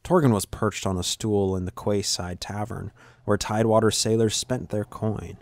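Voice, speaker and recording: A man's voice: lowish voice, normal speed, no echo. A man narrating a scripted fantasy story.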